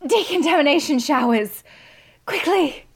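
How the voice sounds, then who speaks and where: A woman acts a self-assured scientist in an audio drama. Her voice is fairly high, and she is brisk, commanding and matter-of-fact. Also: natural voice. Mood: urgent.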